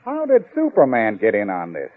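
Sheepish, lively male prankster. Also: lowish voice, moderate pace, breathy edge, heavily acted. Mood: wry. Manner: hesitant, wisecracking. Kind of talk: 1940s radio acting. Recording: tinny old radio recording.

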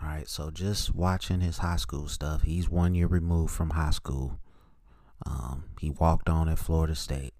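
Deep, mellow-voiced man talking football. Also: little pitch movement, unhurried, no room sound, studio quality. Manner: plainspoken, opinionated, clipped note-like phrases. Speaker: host of a sports opinion show. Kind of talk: solo football scouting commentary, part read from notes.

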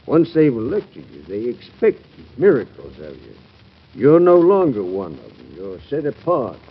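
Outgoing president, solemn and fatherly, raspy with dramatic pitch swings, vintage radio play. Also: heavily acted. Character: outgoing president, grave fatherly elder statesman. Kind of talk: 1950s radio drama acting. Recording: muffled vintage radio recording.

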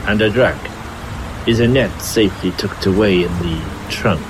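An actor playing a sinister, aristocratic vampire prince with a slow, silky, menacing manner in a horror radio play. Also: male voice, on the low side, background noise, lightly acted.

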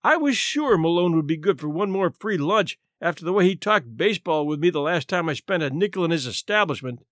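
Storybook role: meek-looking, sly down-and-out man, done by a male reader, quiet, dryly ironic, unhurried, with lilting high voice. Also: expressive, no echo, studio quality. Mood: resigned. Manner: quiet, sighing, unhurried, wry formal wording. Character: shrunken shabby male vagrant, quiet, dry, sly companion.

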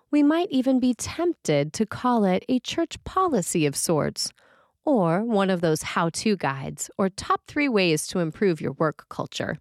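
Female priest for scripted sermon podcast; mid-pitched voice, very dry recording with no room sound. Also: darkish tone, no echo.

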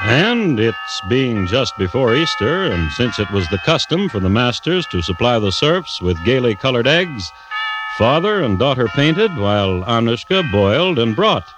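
An actor playing the narrator of an old radio drama, a wry storyteller with a conversational, deadpan manner. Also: male voice, mid-range voice, measured pace, over music, rough recording. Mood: wry, relaxed. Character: wry, unhurried male storyteller of a macabre tale.

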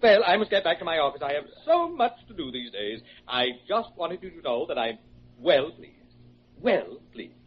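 An actor playing a fussy, pompous, self-pleased middle-aged hospital administrator who gushes and repeats himself, in old-time radio drama style. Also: male voice, fairly high pitch, sing-song, normal speed. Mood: pleased, effusive. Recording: dull, noisy old radio recording.

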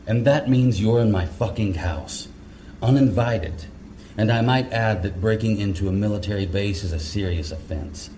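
Man playing a deep, gruff military corporal. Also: normal speed, mellow, a little hiss or hum, close to an everyday voice. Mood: stern. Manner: commanding, profane, stern. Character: gruff, territorial male National Guard corporal.

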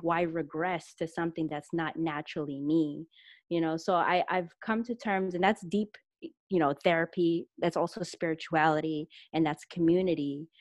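A woman's voice: fairly low pitch, dry recording. A young woman talking casually and reflectively as a guest, leaning on fillers like "you know" and "like". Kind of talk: casual conversation.

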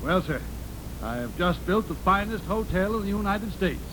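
An actor playing a grateful elderly gentleman in an old-time radio drama, speaking in a formal, declamatory way. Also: expressive, normal speed. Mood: grateful, solemn. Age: older. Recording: noisy vintage radio recording with rumble.

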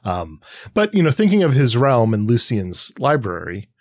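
A man's voice: medium pitch, huge pitch swings, normal speed, a little mellow, no room sound, studio quality. A man co-hosting a casual analytical discussion podcast, reflective and thinking aloud with many qualifiers. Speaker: podcast co-host.

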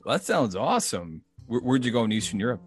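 A man's voice: mid-range voice, sing-song, quick pace, breathy edge, soft background music. Chatty man hosting a casual interview. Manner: chatty, rambling, laughs easily. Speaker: podcast host.

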